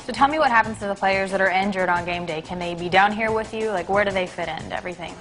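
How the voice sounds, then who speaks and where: A woman's voice: mid-range voice, fast, fairly bright tone, soft background music. A young woman hosting a sports interview, bubbly and chatty as she introduces her guest and asks questions. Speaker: interviewer and host.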